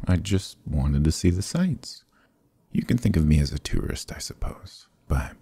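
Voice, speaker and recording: Male actor as sly fantasy rogue for ASMR roleplay: extremely low, gravelly voice, glib courtly flattery, evasive pauses. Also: no echo, heavily acted. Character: sly, charming adventurer thief, smooth-talking and flattering. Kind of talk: fantasy ASMR roleplay acting, close and soft.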